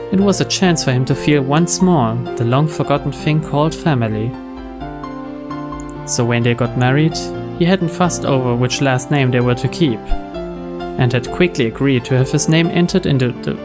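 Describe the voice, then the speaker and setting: A narrator reading scripted visual novel story text. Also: medium pitch. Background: background music.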